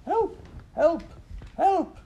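A man's voice: on the high side, sing-song, normal speed, mellow, muffled recording, slight background noise, pitched above the performer's own voice. A man voicing a frightened male farm dog who yelps and pants in rustic speech. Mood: frantic. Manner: yelping, breathless, rustic speech.

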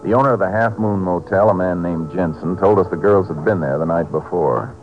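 An actor playing a steady, laconic American Texas Ranger in a 1950s radio drama, terse and matter-of-fact. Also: male voice, low voice, brisk, natural voice. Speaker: lawman lead and narrator of radio drama. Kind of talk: 1950s radio acting, scripted narration. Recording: muffled 1950s radio recording.

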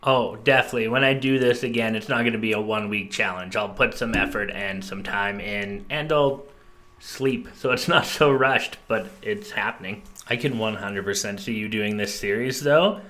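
A man's voice: on the low side, moderate pace, a bit bright. A young man co-hosting a casual podcast, talking in an upbeat, joking way. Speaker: podcast co-host.

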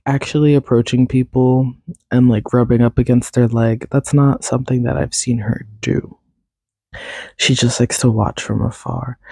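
A young woman hosting a casual solo podcast, rambling and self-deprecating, her voice raspy and congested from a cold. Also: very deep voice, dark tone, dry recording. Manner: rambling, self-deprecating, many fillers and laughs. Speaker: podcast host.

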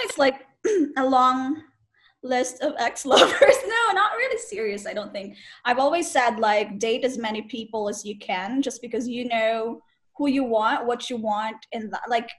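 A woman asking relationship questions in casual chat, laughing often and filling with "like". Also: on the high side. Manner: laughs often, many "like" fillers. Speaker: interviewer.

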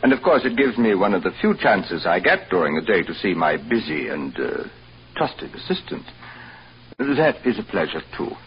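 An actor playing a haughty, needling hotel boss in an old-time radio drama, speaking formally with dry sarcasm. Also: male voice, sits low, very animated. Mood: smugly genial. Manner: formal, pompous, deliberate, drily sarcastic. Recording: dull, noisy old radio recording.

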